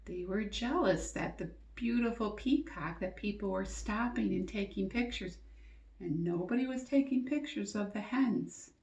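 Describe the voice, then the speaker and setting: A woman teacher reading a storybook aloud to young children, expressively, pausing to ask questions and explain things simply. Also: medium pitch, darkish tone, dull audio. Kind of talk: interactive storybook read-aloud and lesson. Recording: echoey, roomy sound.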